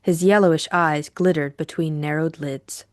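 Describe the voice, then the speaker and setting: Low-voiced woman narrating an audiobook. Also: steady tone, normal speed, dry recording, clean studio sound. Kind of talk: scripted literary narration.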